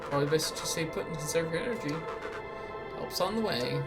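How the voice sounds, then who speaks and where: A woman voicing a smooth, folksy, falsely kindly adult villain in a video game, mock-polite, over music. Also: sits low, fairly quick, close to an everyday voice. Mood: falsely soothing. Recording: music under the voice.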